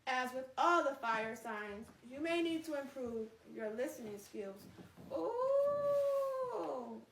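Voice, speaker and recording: A woman hosting a read-aloud, moving between narration and casual, expressive, chatty asides. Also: sits high, slow pace, noisy background. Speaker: host reading a book aloud on a live stream. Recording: roomy echo, distant microphone.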